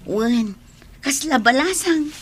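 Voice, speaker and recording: An actress playing a flirtatious, gossiping friend with a Filipino Ilocano accent, teasing and forward in a conversational radio drama. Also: female voice, high-pitched, animated, slow pace, a little hiss or hum.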